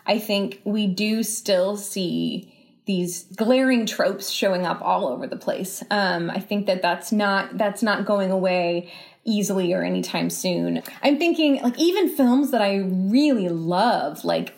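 A woman speaking as a guest in a podcast interview, reflective and explanatory, often pausing mid-phrase and softening points with casual qualifiers. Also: mid-range voice, creaky. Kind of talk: thoughtful podcast interview conversation.

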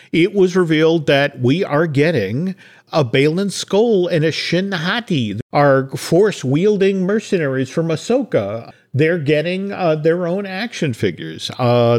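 A man hosting a podcast, talking in a casual, chatty way about entertainment news, often repeating words for emphasis. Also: fairly high pitch. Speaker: podcast host.

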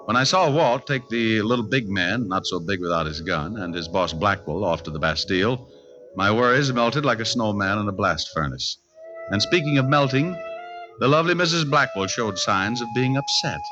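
An actor playing a wisecracking, flirtatious private detective in a wry 1940s radio style. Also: male voice, fairly low pitch, expressive, normal speed, natural voice. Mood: sardonic. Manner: wry, sardonic. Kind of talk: 1940s radio detective drama, first-person narration. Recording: dull old radio recording, music behind.

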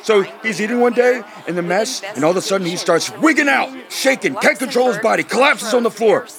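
A man's voice: high-pitched, lively intonation, fairly quick, over music. A man playing a bitter, foul-mouthed bar regular in an audio drama, griping and telling stories with indignation. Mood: indignant. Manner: profane, indignant storytelling. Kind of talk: audio drama comedy dialogue. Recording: busy bar chatter behind. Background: bar crowd.

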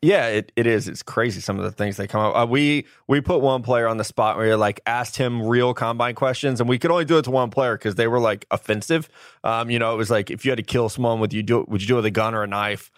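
A man hosting a podcast interview, talking in a relaxed, chatty way with quick asides. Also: mid-range voice, no room sound, studio quality. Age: adult, older than a college player. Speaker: podcast host. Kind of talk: casual interview chat.

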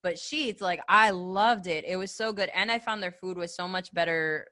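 A woman's voice: fairly low pitch, moderate pace, sharp tone. A woman from the American Midwest interviewing in a casual, friendly chat, agreeable and given to reminiscing. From Ohio, American Midwest.

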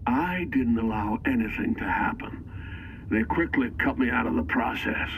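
A man's voice: medium pitch, normal speed, slight background noise, close to an everyday voice, poor audio. A man playing a defensive, wary government insider in an audio drama.

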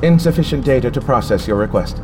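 A man voicing a coolly dutiful spaceship computer in an audio drama, formal, flat and literal. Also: mid-range voice, fast, music in the background, close to an everyday voice. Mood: impassive.

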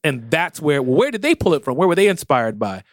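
A man's voice: fairly high pitch, sing-song, fairly quick, no room sound, studio quality. A man co-hosting a casual podcast, talking earnestly and acting out quoted voices. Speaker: podcast co-host.

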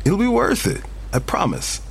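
Lively, easygoing male muralist. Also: sits high, moderate pace, vocal fry, a little hiss or hum, heavily acted. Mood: easygoing, teasing. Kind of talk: audio drama dialogue.

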